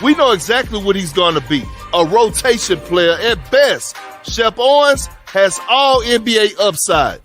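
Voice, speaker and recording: Male sports pundit, high-pitched, brash, contrarian, emphatic, video game debate show, light music behind. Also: expressive, a little slow, fairly bright tone. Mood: combative. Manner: emphatic, opinionated, punchy repetition.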